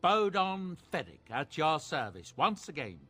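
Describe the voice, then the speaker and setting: A man's voice: a little high, sing-song, unhurried, sharp tone, husky, full character voice. A man voicing a genial middle-aged dwarf merchant in a fantasy game, with an obsequious salesman's courtesy. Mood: eager, grateful.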